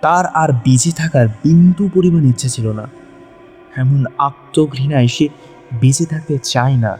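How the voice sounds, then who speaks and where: Dark-toned male story narrator over music. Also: a little high, normal speed. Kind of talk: scripted dramatic story narration. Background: background music.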